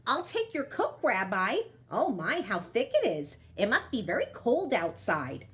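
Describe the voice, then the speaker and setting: A woman's voice: medium pitch, wildly swooping pitch, unhurried, fairly bright tone. A woman voicing a cheerful, hospitable ninety-seven-year-old village grandmother who greets and exclaims in a storybook. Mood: cheerful, welcoming. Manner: welcoming, exclaiming.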